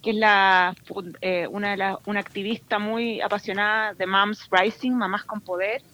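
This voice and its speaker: A woman from Chile with a Chilean Spanish accent, answering questions on a remote interview, animated and laughing often, mixing English words in. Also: medium pitch, moderate pace, poor audio. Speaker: guest entrepreneur.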